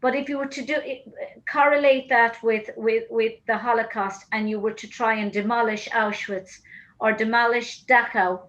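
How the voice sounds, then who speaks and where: A woman's voice: a little high, fairly even intonation, fairly bright tone, lo-fi sound. Irish woman hosting a video-call interview. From Ireland. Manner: thoughtful, halting, many restarts. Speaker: host.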